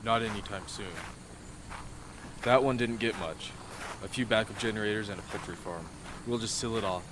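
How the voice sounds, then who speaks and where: A man playing a steady, matter-of-fact military officer in an amateur radio drama, blunt and businesslike. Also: lowish voice, normal speed, natural voice. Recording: clearly noisy outdoor-like ambience.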